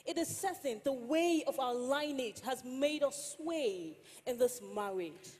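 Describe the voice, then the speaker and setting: A woman's voice: sits high, animated, a little slow, a little mellow. A woman playing a rueful wife, reciting a solemn theatrical monologue in rhymed verse. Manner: solemn, declamatory. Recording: reverberant stage hall.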